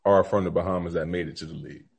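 A man's voice: deep voice, fairly even intonation, racing, creaky, darkish tone, husky. A man co-hosting a show with casual, bantering chat. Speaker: show co-host.

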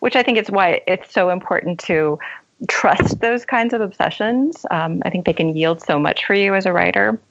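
A woman's voice: mid-range voice, moderate pace, creaky. A woman speaking as a novelist and guest, thoughtful and articulate in a reflective literary interview. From left Iran as a child and grew up in America. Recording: dull lo-fi sound.

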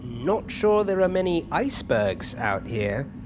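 A man's voice: medium pitch, very animated, a little slow, background noise. An actor playing a wry, irreverent expedition crewman who talks hesitantly and jokingly in an audio drama. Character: wisecracking, irreverent male expedition crew member. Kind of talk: naturalistic audio fiction acting.

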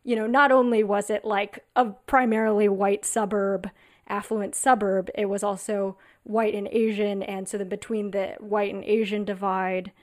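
Thoughtful quick-talking woman. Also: medium pitch, flat delivery. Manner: thoughtful, quick, filler-heavy musing. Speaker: comedian. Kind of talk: casual panel conversation.

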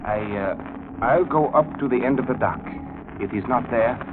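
Man playing hesitant, uneasy middle-aged gentleman, tinny radio. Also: medium pitch, close to an everyday voice. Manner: hesitant, grumbling, halting. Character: uneasy, reluctant middle-aged male former attorney. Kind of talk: 1940s radio mystery acting. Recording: old 1940s radio broadcast, tinny, noisy.

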